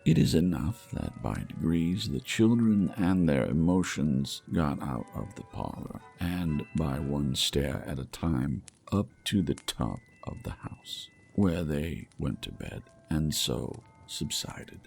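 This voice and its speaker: A man reading a classic novel aloud in a measured, expressive storytelling manner. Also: rumbling low, vocal fry, soft background music. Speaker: audiobook narrator. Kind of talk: scripted narration of a classic novel.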